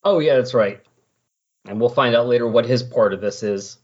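A man with a husky voice hosts a relaxed discussion show. He explains things conversationally at an everyday pitch. The recording is a little dull and lo-fi. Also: moderate pace. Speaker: podcast host. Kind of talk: casual comic-book recap discussion.

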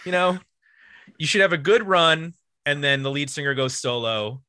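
A man hosting a casual music discussion, thinking aloud in a halting, conversational way. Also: a little high, lively intonation, sharp tone, clean tone, no echo, studio quality. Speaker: podcast host.

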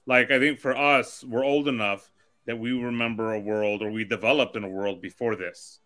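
A man's voice: mid-range voice, fairly even intonation, moderate pace, bright, forceful tone. A man co-hosting a casual conversation, asking questions and thinking aloud as he talks.

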